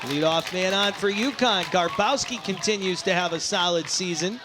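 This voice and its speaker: A man calling live baseball play-by-play, descriptive between plays and excitable on big ones. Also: high-pitched, moderate pace, noisy background. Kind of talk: live sports play-by-play commentary. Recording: crowd and music behind commentary. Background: ballpark crowd, music.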